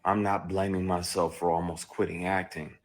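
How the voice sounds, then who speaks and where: A man's voice: sits low, little pitch movement, normal speed. A man, an actor, telling his own story bluntly and candidly, with a lot of profanity.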